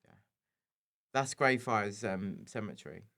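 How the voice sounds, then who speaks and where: A man's voice: fairly low pitch, a little slow, vocal fry, bright, forceful tone. A man chatting casually as a podcast guest, explaining things informally. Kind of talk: casual chat.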